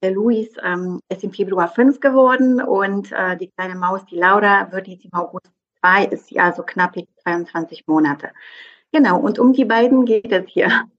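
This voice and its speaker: A woman's voice: mid-range voice, normal speed, muffled recording. A woman in her forties from southeast Poland, speaking as an interview guest, with frequent fillers and a habitual "genau". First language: Polish. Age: 40s. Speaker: interview guest, mother of two. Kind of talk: casual interview conversation.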